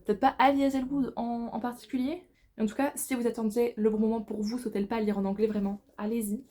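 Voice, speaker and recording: A woman's voice: fairly high pitch, flat delivery, quick pace, mellow. A woman in her twenties, a book vlogger, chatting casually and informally to camera, with slangy phrasing. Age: 20s.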